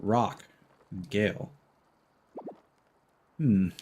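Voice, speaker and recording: A man's voice: fairly low pitch, drawn-out words, warm tone, muffled recording, a little hiss or hum. A man streaming a video game with casual, spoken-as-he-plays commentary. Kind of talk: casual live gameplay commentary. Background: game music.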